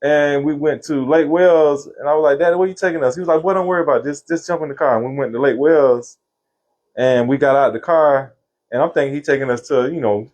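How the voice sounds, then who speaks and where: A man of fifty from Florida, telling stories from his life in a relaxed interview. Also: a little high, quick pace, lo-fi sound. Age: 50s. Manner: relaxed, laughing, Southern slang. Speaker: interview guest, singer. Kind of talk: casual storytelling interview.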